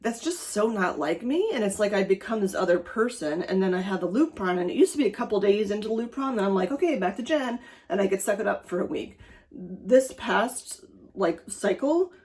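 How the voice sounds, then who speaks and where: A woman's voice: medium pitch, lively intonation, fairly quick, darkish tone. A middle-aged woman raised speaking German, talking in a rambling, chatty way for her personal vlog, with many fillers. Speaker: vlogger.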